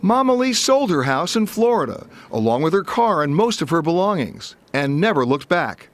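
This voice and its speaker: A man's voice: fairly high pitch, sing-song. A man in his sixties, a travel correspondent, narrating a news feature and chatting in the studio. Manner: brisk, conversational.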